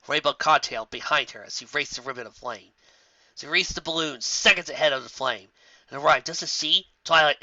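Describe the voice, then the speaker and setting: A man's voice: fairly high pitch, pushed and bright, no room sound, highs cut off. A man narrating a fantasy story dramatically, as a reader of fan fiction.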